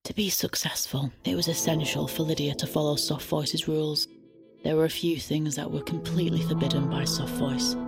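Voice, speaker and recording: Low-pitched woman narrating over music. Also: fairly even intonation, moderate pace. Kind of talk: scripted audio fiction narration.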